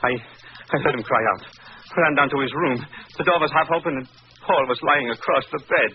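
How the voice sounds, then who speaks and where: An actor playing an alarmed, brusque nobleman's cousin with an urgent, halting delivery in 1940s radio style. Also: fairly high pitch, close to an everyday voice. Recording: muffled noisy old radio broadcast.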